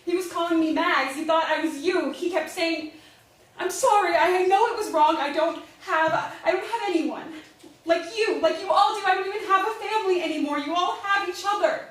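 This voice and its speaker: A woman's voice: very high voice, brisk. A woman acting a timid, distraught teenage girl who pleads, in a staged play reading. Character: timid, distraught nineteen-year-old pregnant girl, pleading. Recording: echoey hall, distant mic.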